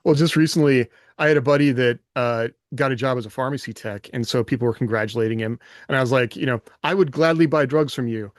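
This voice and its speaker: A man's voice: medium pitch, fast, few pauses. A man co-hosting a casual podcast chat, speaking informally as if thinking aloud. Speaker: podcast co-host and fiction writer.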